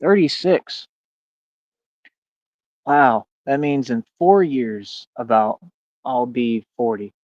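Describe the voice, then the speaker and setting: A man's voice: medium pitch, slow pace, long pauses, darkish tone, dry recording. Boastful man in his thirties, dull lo-fi audio. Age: 30s. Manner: slangy, peppered with "man" and "bro"; boastful, defiant. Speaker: streamer. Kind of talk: casual rambling livestream monologue.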